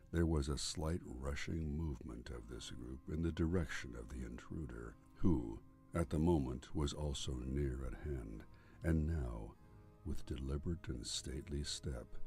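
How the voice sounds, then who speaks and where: A man narrating a gothic horror story in a solemn, theatrical, deliberate way, as a voice-over narrator. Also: rumbling low, mellow. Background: music.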